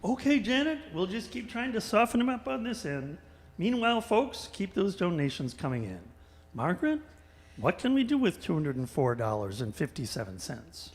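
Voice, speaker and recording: In a big echoing hall, a man plays an upbeat radio theater host running a fundraiser. His voice sits high for a man and moves around a lot in pitch as he announces. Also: big pitch swings, normal speed, exaggerated voice. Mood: upbeat, coaxing. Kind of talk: radio show hosting and announcing. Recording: echoey hall with long reverb.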